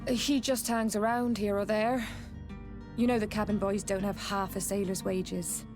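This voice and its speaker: A woman's voice: mid-range voice, little pitch movement, measured pace, lightly acted. An actress playing a plain-spoken, loyal female sailor in a fantasy game, frank and colloquial. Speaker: voice actor in a video game. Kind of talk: scripted fantasy game dialogue. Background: music.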